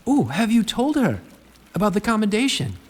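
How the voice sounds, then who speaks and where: Fawning male courtier: fussy, courtly, very animated pitch, in a reverberant hall. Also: moderate pace, exaggerated voice. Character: obsequious, fussy male attendant to a commander. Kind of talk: fantasy audio drama acting.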